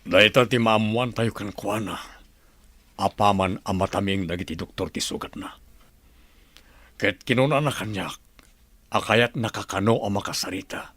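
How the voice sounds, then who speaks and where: An actor with an Ilocano accent playing a stern, authoritative male governor in a radio drama, speaking gravely. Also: on the low side, moderate pace, long pauses, fairly bright tone, no room sound. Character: stern male governor who survived an assassination attempt.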